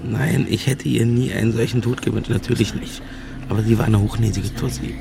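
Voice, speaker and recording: Gravelly, cocky young guy. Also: fairly low pitch, fairly even intonation, brisk. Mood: offhand, defensive. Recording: noisy ambience around the dialogue.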